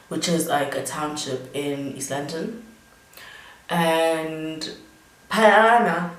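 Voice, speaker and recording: Young South African woman vlogger, a bit tipsy, loose rambling delivery; roomy sound with the mic at a distance. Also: lowish voice. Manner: rambling, chatty, many fillers. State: tipsy from drinking. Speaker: beauty vlogger. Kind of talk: casual storytime chat.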